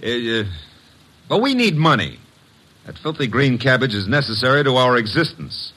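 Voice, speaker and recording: An American actor playing a brash, wisecracking detective's assistant with an eye for women, in glib old-time radio style. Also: male voice, medium pitch, very animated, moderate pace, pushed and bright. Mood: exasperated, needling. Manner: glib, breezy, wry. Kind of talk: 1940s-50s radio acting, first-person narration and dialogue. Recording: noisy vintage radio recording.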